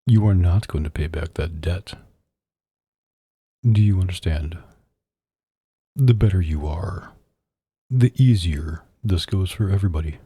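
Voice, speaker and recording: Mafia boss, male actor: dark, gravelly tone, stern and possessive, controlled menace, intimate ASMR delivery in a dry close space. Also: deep voice, no room sound, full character voice. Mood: stern, threatening. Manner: slow, stern, menacing and controlled, with long pauses. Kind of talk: ASMR roleplay, intimate address to the listener.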